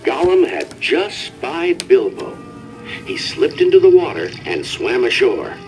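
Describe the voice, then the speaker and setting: Animated male storybook narrator. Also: medium pitch, unhurried, low-quality recording. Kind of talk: dramatic scripted children's narration. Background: faint background music.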